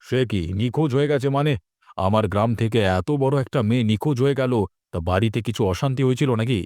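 A man's voice: mid-range voice, fast, a little mellow, dry recording, studio quality, close to an everyday voice. A man playing an authoritative village landlord in a cartoon, concerned and questioning. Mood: alarmed, questioning. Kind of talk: cartoon dialogue acting.

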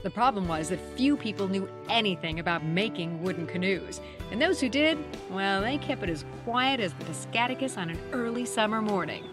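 A woman narrates a scripted voice-over in a middle-pitched voice with a wry touch. Music plays clearly under her. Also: expressive, brisk, few pauses. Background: background music.

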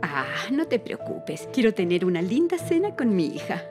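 A woman in her forties dubbing a worried working mother in a TV drama, expressive in her speech. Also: mid-range voice, moderate pace, music in the background, close to an everyday voice. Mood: hopeful. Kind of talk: Spanish dub of a TV drama.

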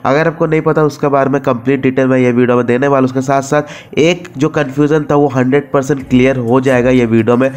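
A man's voice: medium pitch, fairly even intonation, quick pace, hardly any pauses, a little mellow. A man with an Indian accent giving a casual, informal gaming voice-over as host, mixing in English words and repeating himself. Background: background music.

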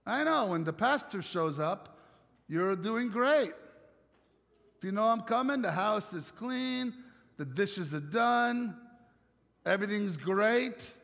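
An Italian-accented pastor in middle age gives a sermon from the stage of a church sanctuary. His voice sits high, and his delivery is conversational, full of rhetorical questions and light jokes. The sound trails off in a long church reverb. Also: lively intonation, slow, gravelly, pauses often, fairly bright tone. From Italy.

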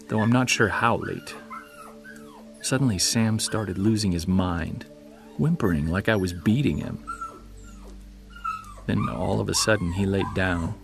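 A man's voice: low voice, gravelly. A man acting as a witness who recounts an unsettling childhood memory in a hesitant, conversational audio drama style. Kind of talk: naturalistic audio drama storytelling.

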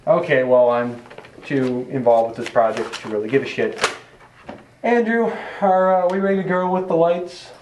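Guy playing sarcastic pushy amateur director, kinda high voice, echoey with a bit of noise. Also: normal speed, lightly acted. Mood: exasperated, commanding. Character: bossy, sarcastic, exasperated amateur film director. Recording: echoey room, faint background noise.

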